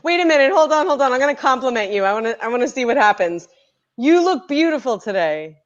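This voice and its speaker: A woman's voice: high-pitched, big pitch swings, fast talker. A woman playing a flustered, stammering woman in an improv comedy scene, with a dull lo-fi sound. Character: flustered fan-obsessed woman.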